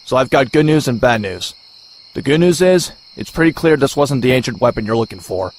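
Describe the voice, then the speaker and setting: Wisecracking young male antihero, played by a man, sarcastic comic-adventure delivery, lively pitch, faint noise behind. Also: fairly quick, close to an everyday voice. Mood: wry, uneasy. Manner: sarcastic, sardonic. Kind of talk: animated comic adventure acting.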